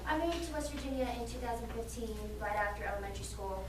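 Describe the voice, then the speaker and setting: A woman's voice: fairly high pitch, quick pace. A young woman chatting casually about her memories in a student presentation. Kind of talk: class presentation. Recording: echoey room from far off, noisy.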